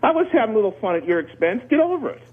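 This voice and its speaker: A man's voice: high voice, sing-song, very fast, husky. A man calling in to a radio show, explaining aviation in a plainspoken, jokey way with anecdotes. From Canada. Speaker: radio show caller, former air traffic controller. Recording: muffled band-limited radio phone-in call.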